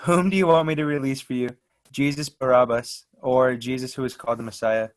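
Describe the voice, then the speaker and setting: A man's voice: medium pitch, fairly even intonation, brisk, close to an everyday voice. A man reading a questioning Roman official in church readers theater, with a plain delivery. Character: questioning male Roman governor, also mocking guard.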